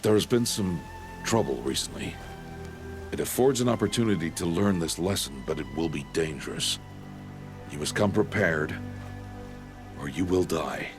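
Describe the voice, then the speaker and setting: An actor playing a stern middle-aged male outcast mentor in a video game, speaking in a deep, grave, measured and terse way. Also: animated, music in the background. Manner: solemn and terse. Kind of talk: video game cutscene acting.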